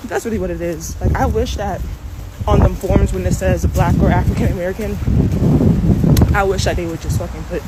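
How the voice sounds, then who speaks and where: A woman's voice: on the low side, steady tone, fairly quick. A young woman with a New York accent talking casually to camera, rambling and unsure, full of fillers. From Brooklyn, New York. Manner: rambling and unsure, many fillers like "like" and "I don't know". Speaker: social media commenter. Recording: loud street noise with traffic.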